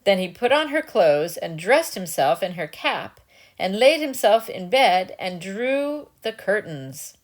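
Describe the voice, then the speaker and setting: A woman reads a storybook aloud as a storyteller. Her medium-pitched voice moves widely and expressively through dramatic narration, with casual asides to the listener. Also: sing-song, measured pace.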